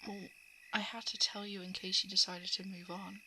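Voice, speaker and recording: A woman playing a shapeshifting monster who poses as a lovelorn crush in an ASMR roleplay, speaking softly and hesitantly, often slipping into whispers. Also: mid-range voice, level tone, normal speed, slight background noise, rough recording. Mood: tender coaxing. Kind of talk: ASMR roleplay, intimate confession. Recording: night insect and frog ambience. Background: crickets, frogs and insects.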